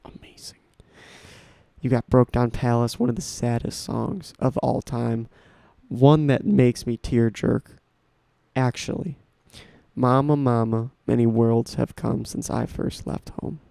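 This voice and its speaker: A young man in his late teens rambles happily through his favorite records, tripping over his own sentences as he goes. His voice has a gravelly, mellow low end, recorded close and dry with no room sound. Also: steady tone, unhurried, dry recording. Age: young adult. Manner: rambling, enthusiastic, restarts often. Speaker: podcast host. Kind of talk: casual solo talk about music.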